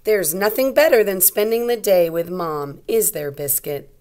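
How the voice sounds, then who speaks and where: A woman teacher reading a storybook aloud to young children, expressively, pausing to explain and ask simple questions. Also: mid-range voice, a little mellow.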